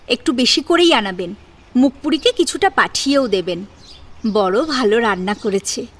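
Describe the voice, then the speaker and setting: A woman narrating a scripted family drama as an audio story. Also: high voice.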